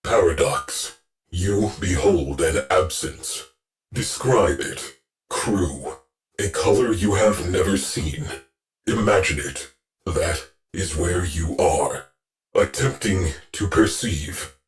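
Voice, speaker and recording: An actor playing a grave male ship AI who gives clipped, measured military reports in a video game. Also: sits low. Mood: steady. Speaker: ship's command AI.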